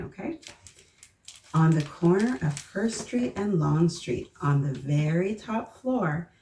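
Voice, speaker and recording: Low, expressive woman reading to children. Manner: encouraging, questioning, expressive. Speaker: children's story time host and reader. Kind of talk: read-aloud storytelling to young children. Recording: echoey room, distant mic, faint noise.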